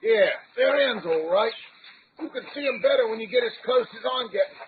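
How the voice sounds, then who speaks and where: Reluctant tough pilot: slangy, grudging wisecracker for radio adventure, narrow tinny sound with noise. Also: male voice, extremely high pitch, animated, brisk. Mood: uneasy. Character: surly, reluctant tough-guy pilot held at gunpoint. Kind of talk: old-time radio adventure acting. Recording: tinny band-limited radio drama, background noise.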